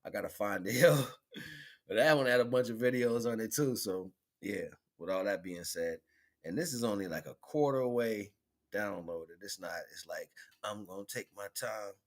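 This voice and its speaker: Very deep-voiced man, casual streamer. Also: normal speed. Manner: rambling, slangy, repeats catchphrases. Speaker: livestream host. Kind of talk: casual livestream chat.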